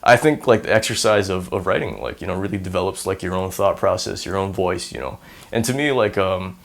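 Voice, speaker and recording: A man's voice: sits low, brisk, vocal fry. Young man with Singaporean-American accent. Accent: mix of American and Singaporean. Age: 20s. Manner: rambling, filler-heavy, self-deprecating humor. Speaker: university student. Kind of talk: casual on-camera interview monologue.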